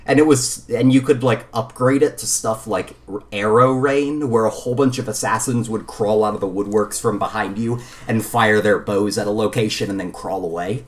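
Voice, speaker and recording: A man's voice: lowish voice, steady tone, normal speed. Rambling, opinionated man chatting about games. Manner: rambling, opinionated, casual swearing. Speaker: podcast co-host. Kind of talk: casual gaming chat.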